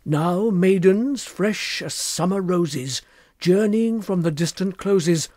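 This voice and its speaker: A man reading poetry aloud in an expressive literary style, with measured and deliberate phrasing. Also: fairly high pitch, no echo, studio quality. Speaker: poetry reader.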